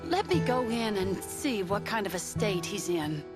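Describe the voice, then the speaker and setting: An actress playing a genteel, anxious lady of the Old West in a video game, pleading and gently reproving. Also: medium pitch, sing-song, measured pace, over loud music. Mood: worried. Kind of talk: video game cutscene acting.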